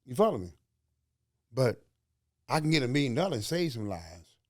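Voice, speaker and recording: A man's voice: mid-range voice, sing-song, moderate pace, a little mellow, no echo, studio quality. A man from Alabama with a Southern accent, hosting a podcast in a folksy, preachy way, full of slang and rhetorical questions. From Alabama, USA. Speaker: podcast host. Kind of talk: motivational podcast talk.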